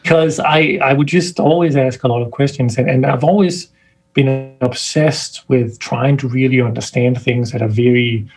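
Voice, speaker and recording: A man's voice: mid-range voice, steady tone, moderate pace, husky, faint music behind. A man from Denmark, a scientist, talking thoughtfully as a guest on a video-call interview, often restarting his sentences. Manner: thoughtful, searching for words, frequent restarts. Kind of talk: casual interview talk.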